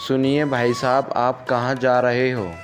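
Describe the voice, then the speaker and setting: A man voicing a kind, sentimental village farmer in an animated moral story, earnest and plain-spoken. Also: mid-range voice, a little slow, lightly acted. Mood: friendly, musing. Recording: music behind the voice.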